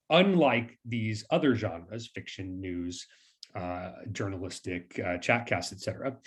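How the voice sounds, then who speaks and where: Mid-pitched man presenting academic research. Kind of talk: scripted academic presentation with brief asides.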